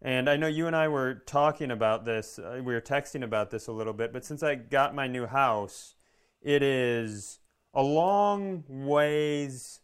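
A man's voice: mid-range voice, measured pace, clear, resonant tone. Man, podcast co-host, mid-range voice, casual comic chat, self-deprecating, acts out quotes.

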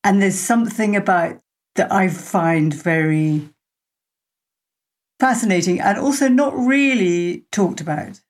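A woman's voice: medium pitch, animated, unhurried, a little mellow. A middle-aged woman hosting an interview, sharing reflective thoughts in long, linked sentences. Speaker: podcast host.

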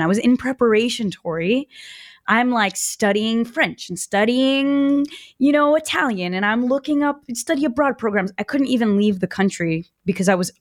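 A woman's voice: medium pitch, no echo. A woman in her late twenties, from Brazil and raised in the US, talks as a podcast guest. She tells her stories with energy and a lot of casual fillers. The recording is close and dry, with no room sound. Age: 20s. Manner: animated storyteller, lots of "like". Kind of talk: conversational podcast interview.

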